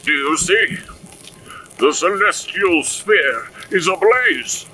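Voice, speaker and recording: A man's voice: high voice, animated, slow, sharp tone, recorded from a distance, slight background noise, heavily acted, rough recording. A man playing a solemn old-time preacher with grave, archaic phrasing in an audio drama. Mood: alarmed.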